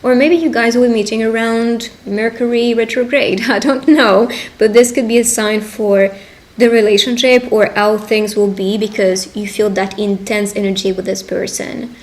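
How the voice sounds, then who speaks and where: A woman's voice: fairly high pitch, fairly even intonation. Woman giving an encouraging fortune reading. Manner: encouraging, conversational, pauses to interpret. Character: friendly female witch giving a love reading. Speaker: tarot reader and roleplay video host. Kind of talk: intimate roleplay fortune-telling addressed to the listener.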